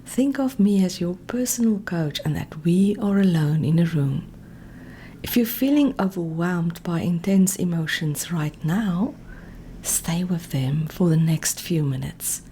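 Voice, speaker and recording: A woman speaking as a meditation coach, giving calm, gently directive guided instruction in a soft, breathy voice that often nears a whisper. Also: lowish voice, a little slow, a little hiss or hum.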